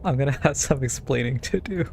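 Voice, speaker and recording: A man's voice: medium pitch, fairly quick, gravelly. A man giving casual, offhand commentary as he streams a game, muttering short reactions. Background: faint game music.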